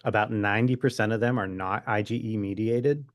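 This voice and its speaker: A man with a fairly low voice talks as a medical expert in an interview. He explains in a conversational, informal way with a wry streak, and the recording is dry with very little room sound. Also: fairly even intonation, fairly quick, dry recording. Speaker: physician guest expert.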